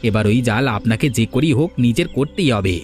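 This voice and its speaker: Cartoon role, male actor: village boss's eager henchman, rapid, insistent patter with light music behind. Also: mid-range voice, expressive, darkish tone, lightly acted. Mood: eager reporting. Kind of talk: Bengali cartoon story voice acting.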